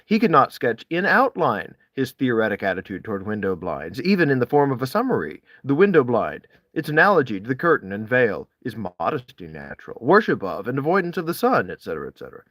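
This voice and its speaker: A man reading classic literary prose aloud, deliberately, with dramatic rises and falls in his phrasing. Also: mid-range voice, big pitch swings, fairly bright tone, no room sound. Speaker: audiobook-style reader of classic essays. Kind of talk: literary read-aloud, expressive scripted narration.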